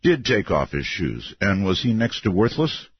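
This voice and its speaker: An older man with a deep voice hosting a late-night radio interview, asking long, meandering questions and laughing often. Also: very animated, moderate pace, slight background noise. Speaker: radio talk show host. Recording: muffled lo-fi radio archive.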